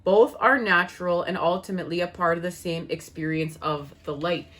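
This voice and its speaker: A woman giving a spiritual tarot reading, her voice worn and fading, speaking in slow, soothing, repetitive phrases. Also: on the low side, steady tone, fairly bright tone. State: losing her voice. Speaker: tarot reader.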